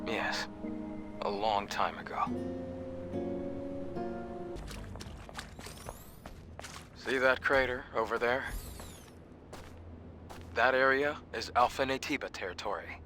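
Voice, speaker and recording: A man's voice: medium pitch, a little slow, long pauses, close to an everyday voice. Game role: actor as an easygoing male scout, knowledgeable and conversational, mid-range voice, music behind him. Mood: subdued. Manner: casual, conversational. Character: easygoing, knowledgeable male scout companion, gently teasing and wistful. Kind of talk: video game voice acting.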